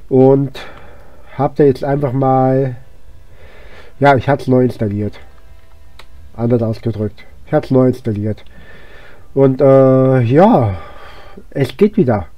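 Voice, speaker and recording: A hoarse man with a cold giving casual, rambling gameplay commentary, with lots of fillers and restarts. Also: mid-range voice, takes it slowly, pauses often, dull audio. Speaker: let's play gamer.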